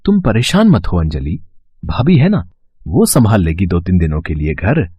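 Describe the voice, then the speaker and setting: A man playing a mild, concerned young husband in a melodramatic story, with a husky voice and a dull recording. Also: low voice, very animated, normal speed, no room sound, exaggerated voice. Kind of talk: melodramatic Hindi story acting.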